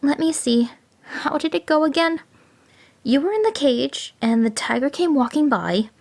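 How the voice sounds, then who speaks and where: A woman voicing a cunning male jackal who pretends to be slow-witted, with fluttery, flustered pleading. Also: high-pitched, expressive, gravelly, a little mellow, pitched above the performer's own voice. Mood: feigned confusion.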